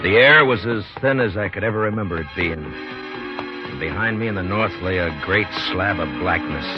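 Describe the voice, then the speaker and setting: An actor playing a weary frontier marshal, narrating a 1950s radio western in a brooding, terse way. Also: male voice, on the low side, close to an everyday voice. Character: weary, grim frontier marshal recalling a hard ride. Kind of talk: 1950s radio drama first-person narration. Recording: dull 1950s radio recording, loud music.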